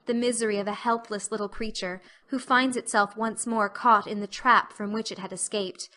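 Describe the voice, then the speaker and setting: A woman reads scripted audiobook narration. Her voice is fairly high with a bright, forward tone, telling the story as narrator.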